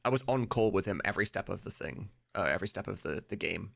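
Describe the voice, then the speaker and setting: A man's voice: deep voice, expressive, fast, gravelly, a bit bright. A man streaming casually as he works, thinking aloud in an informal, sweary way. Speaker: streamer and mod voice director. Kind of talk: casual livestream chat while working. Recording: music behind the voice. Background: music.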